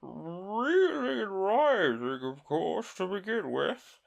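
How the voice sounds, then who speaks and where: A reader voicing a sorrowful, sobbing male Mock Turtle in a storybook reading, with a slow, mournful drawl. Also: mid-range voice, very animated, creaky, highs cut off, voice pushed up, exaggerated voice.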